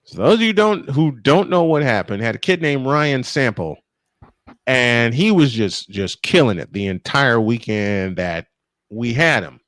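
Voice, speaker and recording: A man calling live baseball play-by-play and telling stories in an animated, emphatic way, stretching phrases for drama. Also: medium pitch, unhurried, no room sound. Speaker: play-by-play sportscaster. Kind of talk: live baseball commentary mixed with animated storytelling.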